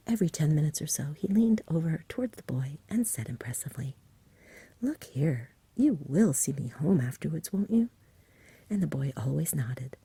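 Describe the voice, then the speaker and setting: A woman reading in a gentle, soothing, unhurried ASMR bedtime-story style, very softly. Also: low voice, vocal fry, husky, dry recording. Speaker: ASMR reader and host. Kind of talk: soft whispered ASMR bedtime story narration.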